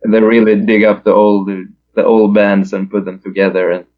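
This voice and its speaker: A man's voice: fairly low pitch, flat delivery, normal speed, phone-quality sound. A Swedish man in his thirties, a musician guest in a casual interview, hesitant with lots of fillers. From Sweden.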